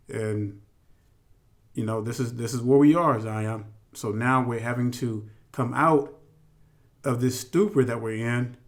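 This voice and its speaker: A man hosting a religious teaching commentary, speaking emphatically and directly to his followers with repeated phrases. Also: medium pitch. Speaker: host.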